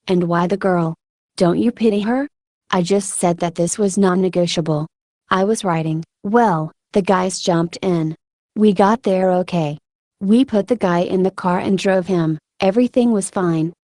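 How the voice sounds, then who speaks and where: Female combat medic, steadfast soldier and mother played by a woman: mellow, conversational interview voice-over, halting delivery, dry studio-like sound. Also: on the low side, dry recording, natural voice. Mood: conversational, steady. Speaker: dubbing voice of an interviewee. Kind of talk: interview answers in translated voice-over.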